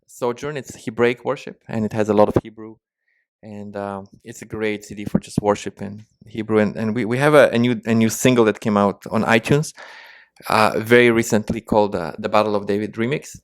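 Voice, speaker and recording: A man's voice: lowish voice, steady tone, brisk, clean tone, no echo. A man with a slight Ukrainian-Israeli accent answering interview questions, hesitant with many restarts and fillers. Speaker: musician guest.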